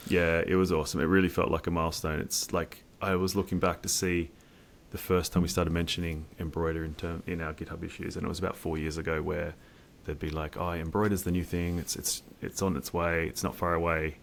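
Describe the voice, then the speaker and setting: Male interview guest, informal tech talker, very low register with noticeable vocal fry. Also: flat delivery, brisk, few pauses, slight background noise. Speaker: software engineer, interview guest. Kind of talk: casual interview conversation.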